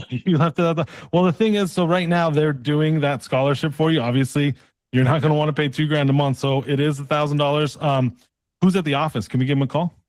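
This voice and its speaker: A man working as a sales coach, delivering a casual, pushy sales pitch as a role-play and often checking for agreement. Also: steady tone, a little fast, a little mellow, dry recording. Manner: pushy, persistent, uses tag questions; casual, colloquial phrasing.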